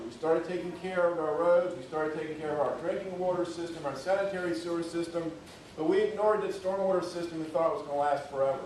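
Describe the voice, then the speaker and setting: Middle-aged man presenter, fairly high voice, folksy and emphatic, far from the mic in a very echoey room. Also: a little mellow, slight background noise. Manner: folksy, conversational, emphatic on key points. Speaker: public works official giving a talk. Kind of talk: conference presentation to an audience. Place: university lecture room. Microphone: speaking away from the microphone.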